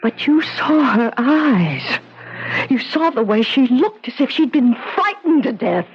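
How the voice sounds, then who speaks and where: A woman's voice: sits high, very animated, a little slow, a little hiss or hum, heavily acted. An actress playing a distraught, stubborn young American schoolteacher grieving her sister in a 1970s radio drama, earnest and insistent. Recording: muffled 1970s radio broadcast recording.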